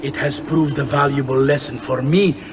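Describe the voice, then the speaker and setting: An actor playing a scornful, threatening corrupt magistrate, sneering and deliberate, as a game villain. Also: male voice, medium pitch, fairly even intonation, heavy background noise, natural voice. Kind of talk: video game villain acting. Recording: far from mic, music over it.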